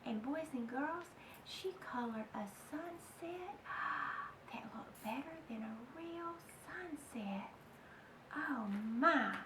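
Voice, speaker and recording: A woman reading a children's storybook aloud expressively, speaking directly to young listeners. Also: sits high. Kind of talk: children's read-aloud narration. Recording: roomy, distant mic, faint noise.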